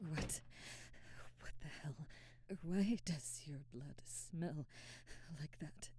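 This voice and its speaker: Casting: actress for aristocratic female vampire, ASMR roleplay. Low, dark, breathy voice, often whispered, formal, teasing and hungry but restrained. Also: expressive, dry recording, heavily acted. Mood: entranced, tense. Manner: slow, formal diction with teasing, halting restraint. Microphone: close, dry microphone.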